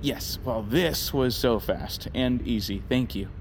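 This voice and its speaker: Curious institute employee, medium pitch, earnest, hesitant, eager, audio drama. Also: male voice, slow, creaky, slight background noise. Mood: relieved. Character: awestruck, earnest, inquisitive male institute employee.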